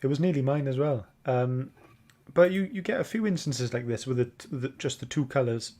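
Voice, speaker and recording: A man's voice: medium pitch, expressive, a little fast, a little mellow. A man hosting a podcast, leading a casual book club discussion. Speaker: podcast host.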